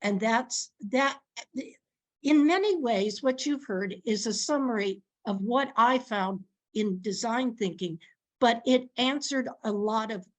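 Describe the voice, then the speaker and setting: A woman with a fairly high voice speaks slowly as a guest answering questions over a video call. She is thoughtful, often restarts her sentences and laughs softly between thoughts. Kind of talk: answering questions in an online session.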